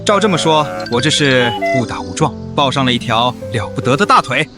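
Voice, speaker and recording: An actor playing a hapless young man mistaken for a great master in an animated drama, exclamatory and theatrical. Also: mid-range voice, brisk, natural voice. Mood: awed, cheerful. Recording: music behind the voice.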